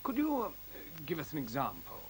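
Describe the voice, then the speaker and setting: An inquisitive scientist, high animated voice. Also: male voice, brisk. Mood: curious. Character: inquisitive, polite scientist questioning a computer. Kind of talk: radio play acting. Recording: faint tape hiss.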